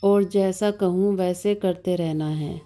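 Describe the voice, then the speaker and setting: A woman leading a guided yoga nidra meditation as the instructor, giving calm, measured, soothing instructions with long pauses between them. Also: on the low side, soft background music. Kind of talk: slow guided meditation narration.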